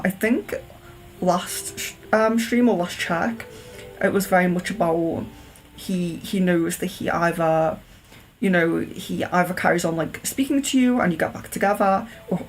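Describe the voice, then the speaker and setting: A woman's voice: on the low side, a little hiss or hum, rough recording. A woman giving a live-stream tarot reading, thinking aloud in a hesitant, searching way and sounding a little sniffly. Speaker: tarot reader.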